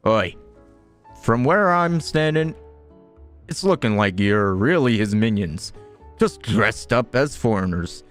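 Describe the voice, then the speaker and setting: A man voicing a cocky, threatening bandit ringleader from a game, with a rough, taunting manner. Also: lowish voice, lively intonation, slow, fairly bright tone, soft background music.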